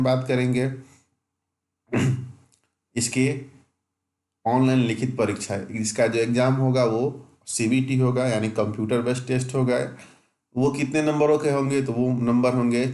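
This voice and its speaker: A man's voice: medium pitch, a little mellow, roomy sound. A man who teaches exam preparation, walking through a job notification point by point and correcting himself as he goes. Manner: methodical, reads out rules point by point, mixes English terms; self-corrects with small restarts.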